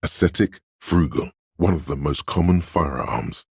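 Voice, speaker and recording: A very deep, gravelly know-it-all inner voice. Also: male voice, a little slow, no echo, studio quality, exaggerated voice. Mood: lecturing, matter-of-fact. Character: pedantic know-it-all inner voice, a walking encyclopedia of trivia. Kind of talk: dramatic game narration.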